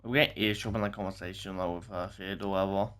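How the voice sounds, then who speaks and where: A man's voice: low voice, steady tone, racing, a bit bright, a little hiss or hum. A man streaming a game with casual, chatty commentary. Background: faint game music.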